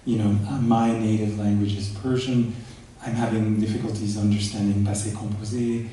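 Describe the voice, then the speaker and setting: A man speaking on a conference panel, explaining in an animated way, recorded from far off in an echoey hall. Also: fairly low pitch, normal speed, slight background noise.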